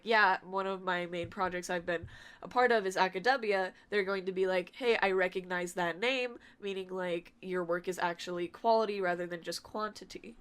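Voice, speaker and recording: A woman with a mid-pitched voice talks as a podcast guest. She is talkative and informal, explaining herself at length in a casual interview style. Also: a bit bright, smooth. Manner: talkative, quick to laugh. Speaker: podcast guest from a fan dubbing group.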